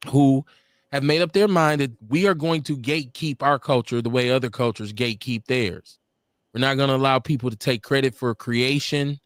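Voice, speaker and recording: Man, podcast co-host, loose rambling talk, mid-pitched, dry close sound. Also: no echo. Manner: rambling, self-correcting storyteller with a loose, laughing delivery. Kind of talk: casual chat. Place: home studio, livestream.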